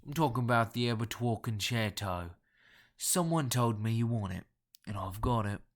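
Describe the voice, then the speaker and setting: A man plays a gruff London rogue with a thick Cockney accent. His voice is guttural, nasal and scratchy from smoke and drink. He talks slowly and slyly, teasing out a deal in an audio horror story. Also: mid-range voice, lively intonation, natural voice. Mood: sly, probing.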